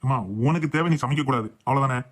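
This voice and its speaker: A man's voice: mid-range voice, fairly even intonation, fast talker, fairly bright tone, close to an everyday voice. A man voicing a boastful, grumbling husband and father in a comic cartoon. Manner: blustering, complaining.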